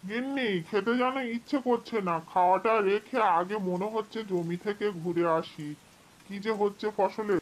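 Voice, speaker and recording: A woman's voice: mid-range voice, moderate pace, close to an everyday voice, rough recording. A woman voicing a worried, kindly male farmer in a cartoon folk tale, speaking earnestly. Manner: earnest, fretful.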